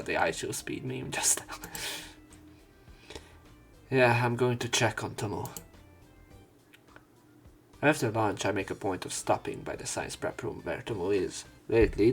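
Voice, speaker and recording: A man's voice: on the low side, moderate pace, pauses often, soft background music. A man reading visual novel narration aloud as a let's play commentator, dropping in casual, wry asides.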